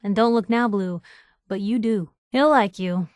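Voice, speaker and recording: A woman's voice: mid-range voice, measured pace, a little mellow, no echo, studio quality, lightly acted. A woman voicing a sardonic, outspoken young newspaper reporter companion in a video game, in a wry conversational way. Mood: wry, friendly.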